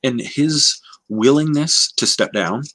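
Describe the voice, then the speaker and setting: A man co-hosting a casual book discussion on a livestream, thinking aloud in a halting way. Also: mid-range voice, sharp tone, no room sound. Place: indoors, livestream call.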